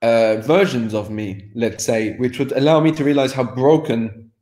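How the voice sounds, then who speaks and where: A man's voice: medium pitch, normal speed, a little mellow. Man talking frankly in an echoey room. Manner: rambling, frank, laughs often, restarts. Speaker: guest, language course creator. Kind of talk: candid personal conversation. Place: home room by a sofa. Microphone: video call. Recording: echoey room over video call.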